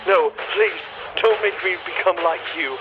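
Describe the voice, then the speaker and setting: A man's voice: high voice, measured pace, phone-quality sound. Noisy radio call, man playing desperate crewman. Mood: desperate, afraid. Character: desperate doomed crewman sending a last distress message. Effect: radio transmission filter. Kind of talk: amateur sci-fi audio drama acting. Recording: noisy, thin radio transmission.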